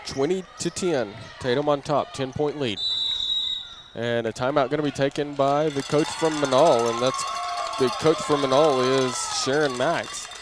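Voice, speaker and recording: A man's voice: mid-range voice, moderate pace, a crowd in the background. Man calling basketball over gym noise. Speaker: play-by-play announcer. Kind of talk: live basketball radio commentary. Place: indoor basketball gym at a live game. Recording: noisy gym ambience, bouncing ball. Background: clear game noise and ball bounces.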